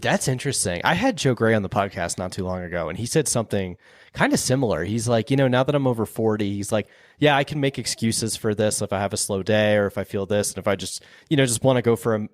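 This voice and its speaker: A man's voice: lowish voice, quick pace, no room sound, clean studio sound. A male podcast host chatting casually and enthusiastically with his guest. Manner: laid-back, enthusiastic, says dude and man. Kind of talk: casual interview chat.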